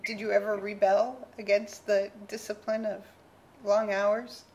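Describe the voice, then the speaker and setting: A woman interviewing a musician, asking measured, polite questions. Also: medium pitch, flat delivery, darkish tone, a little hiss or hum, low-quality recording.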